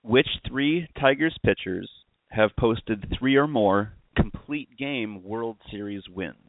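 Guy hosting a sports podcast, lowish voice, chatty and jokey, really dry sound. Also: slow, no echo, phone-quality sound. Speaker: podcast host. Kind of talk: casual sports chat.

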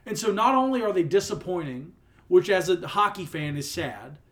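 Slightly high-voiced young man talking sports. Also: expressive, normal speed, fairly bright tone. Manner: teasing, then earnest and reflective. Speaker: podcast co-host. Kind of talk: casual sports chat.

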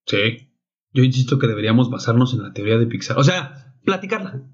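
Brief: young Mexican man, mid-pitched, blunt co-host, swears freely, muffled lo-fi podcast. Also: animated, fairly quick. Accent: Mexican Spanish. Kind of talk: casual chat. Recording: dull lo-fi podcast audio.

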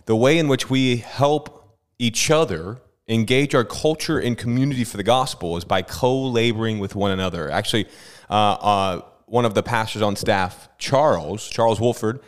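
A man with a fairly low voice hosts a church podcast as its pastor. He talks in a chatty, quick way, often stumbling and restarting as his thoughts run ahead. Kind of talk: casual podcast hosting.

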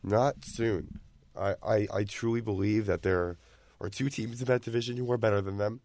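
A young man with a raspy voice, co-hosting a casual sports discussion in a measured way. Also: fairly low pitch, steady tone, darkish tone, no echo. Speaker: co-host.

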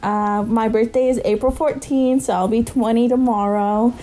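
A woman's voice: on the high side, fairly even intonation, normal speed, dark tone, poor audio. A young woman from Kentucky, co-hosting a casual podcast, rambling with fillers and giggles. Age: young adult. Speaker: podcast co-host. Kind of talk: casual chat.

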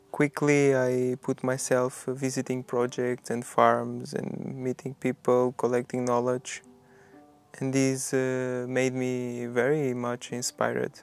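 A man's voice: medium pitch, vocal fry, darkish tone, smooth. A man hosting a podcast and talking about his own path, hesitantly, with many fillers and restarts. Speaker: podcast host. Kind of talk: personal storytelling.

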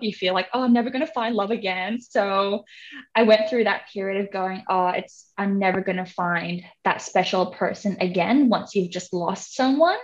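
Young woman, Hong Kong English accent, giggly guest chatter, slightly dull audio. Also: mid-range voice. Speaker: podcast guest. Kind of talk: casual interview chat.

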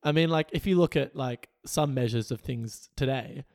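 A young man co-hosts a political podcast in a loose, chatty way. His voice is hoarse and gravelly today. He laughs easily. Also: medium pitch, moderate pace, a little mellow. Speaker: podcast co-host. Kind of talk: casual political chat.

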